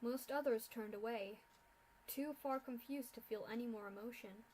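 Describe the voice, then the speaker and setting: A young woman narrating a fan-fiction story aloud in a steady reading voice. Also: a little high, normal speed, slight background noise, rough recording. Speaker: fanfiction reader.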